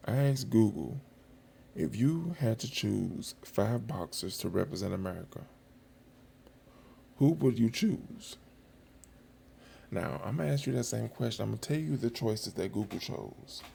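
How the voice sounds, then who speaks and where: A man's voice: lowish voice, expressive, unhurried, vocal fry, long pauses, dark tone. A man giving casual commentary straight to viewers in an informal, colloquial, conversational way. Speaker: host of a boxing footage channel. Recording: faint background noise.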